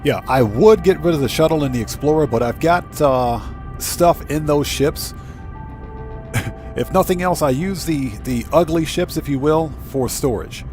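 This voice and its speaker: A man's voice: fairly high pitch, normal speed, a little mellow, faint music behind. A man giving casual, off-the-cuff commentary as he live streams a video game.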